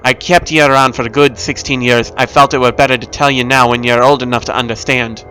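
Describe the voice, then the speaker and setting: A man's voice: mid-range voice, steady tone, brisk, pushed and bright, faint music behind, close to an everyday voice, low-quality recording. A man voicing a sorrowful old crab father with old sailor phrasing in a cartoon story.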